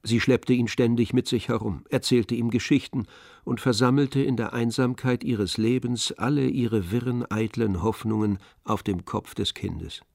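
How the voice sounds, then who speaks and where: A man's voice: medium pitch, creaky, darkish tone, no echo, clean studio sound. A man reading literary narration as the storyteller of a radio play.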